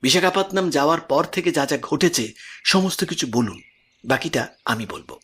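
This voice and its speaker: Male actor as scholarly professor, assured and composed, slightly high husky voice, horror audio drama over music. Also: expressive, normal speed, pushed and bright, full character voice. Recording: background music under the voice.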